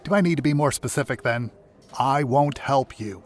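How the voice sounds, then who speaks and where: A man's voice: mid-range voice, moderate pace, faint music behind, natural voice. A man playing a guarded, sarcastic hospital security worker in an audio drama, curt and weary. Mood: exasperated, refusing. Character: bitter, guarded hospital security contractor, reluctant old friend.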